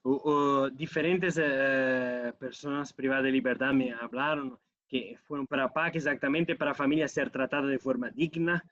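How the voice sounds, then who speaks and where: An Italian man speaking as an interview guest, halting and restarting as he mixes Portuguese with Spanish. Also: medium pitch, fairly even intonation, a bit bright, no room sound, lo-fi sound. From Italy. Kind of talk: casual interview over a call.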